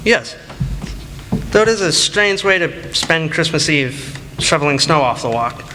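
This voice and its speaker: A young man playing a sensible, mildly stern but kindly tutor in a radio play, measured and reasoning. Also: a little high, lively intonation. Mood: musing. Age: teens or young adult. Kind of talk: student radio play acting. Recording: reverberant hall, clear background noise.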